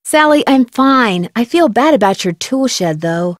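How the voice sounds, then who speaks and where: Higher-voiced composed young woman detective. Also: animated, a little slow, dry recording, clean studio sound. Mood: calm, reassuring. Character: calm, polite young woman amateur detective. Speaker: video game protagonist. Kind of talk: scripted game dialogue.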